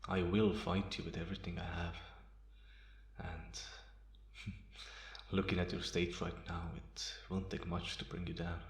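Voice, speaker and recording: A calm, menacing vampire, played by a man for an ASMR roleplay, speaks very low with a gravelly creak. His delivery is deliberate and taunting, kept in a narrow, even range. The sound is roomy and echoing. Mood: quietly menacing. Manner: deliberate, softly chuckling, taunting. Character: calm, amused, menacing male vampire, once human. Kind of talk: ASMR roleplay, slow intimate monologue.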